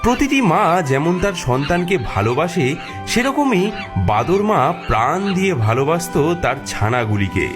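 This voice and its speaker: A man's voice: fairly high pitch, big pitch swings, normal speed, music in the background. A man narrating a scripted cartoon fairy tale as a dramatic storyteller.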